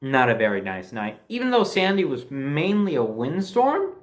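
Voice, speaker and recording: A man's voice: a little high, wildly swooping pitch, moderate pace. An American man telling a personal anecdote in a casual, conversational and emphatic way, as a storyteller. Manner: conversational, emphatic, mildly profane.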